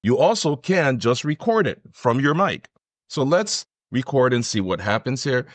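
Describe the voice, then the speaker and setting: A man in his forties hosting an enthusiastic, emphatic software tutorial. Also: medium pitch, sing-song, moderate pace, dry recording. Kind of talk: enthusiastic scripted-sounding screen tutorial.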